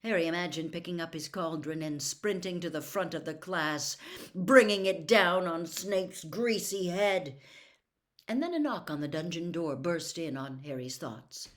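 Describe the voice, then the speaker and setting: Woman reading a story aloud, low-pitched. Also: a little slow. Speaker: audiobook reader. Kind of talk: expressive storybook narration.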